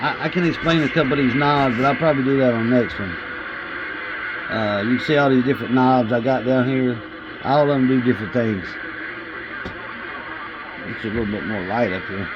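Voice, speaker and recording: A man's voice: mid-range voice, fairly quick, long pauses. A man with a Southern US accent chatting casually to viewers in a folksy, hesitant way, often repeating himself. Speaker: CB radio hobbyist and video host. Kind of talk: casual chat to camera. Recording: muffled, with clear background radio noise.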